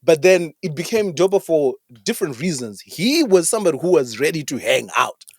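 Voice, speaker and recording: A man's voice: fairly high pitch, sing-song, fairly quick, no room sound, clean studio sound. A Zimbabwean man co-hosting a podcast, chatting casually in a mix of Shona and English and repeating phrases for emphasis. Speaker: podcast co-host.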